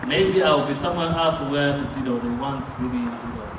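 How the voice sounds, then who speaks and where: A man interpreting a sermon line by line, halting, with fillers and restarts. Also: a little high, far from the mic. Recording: echoey room, picked up from afar, noisy.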